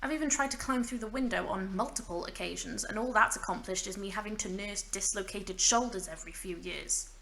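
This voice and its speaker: A woman's voice: mid-range voice, fairly quick, vocal fry, hardly any pauses, bright, forceful tone, rough recording. A woman playing a stranded, centuries-lonely mage in an audio drama, wry and bitter yet fragile, rambling in self-mocking asides. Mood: frustrated. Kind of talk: audio drama monologue, naturalistic acting.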